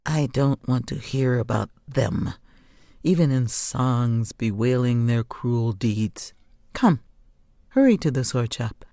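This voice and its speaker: A stern mother played by a woman reader in an audiobook, scolding and reproachful. Her voice is deep and dark, recorded very dry and close. She speaks with bitter urgency. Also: lively intonation, slow pace, gravelly, no room sound, exaggerated voice. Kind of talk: audiobook character voice.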